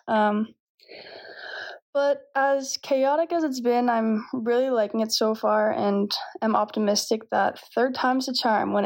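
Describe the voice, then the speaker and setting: A woman's voice: on the high side, a little mellow. A Canadian woman in her twenties, a professional volleyball player, giving an upbeat, self-deprecating update. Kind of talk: scripted update to camera.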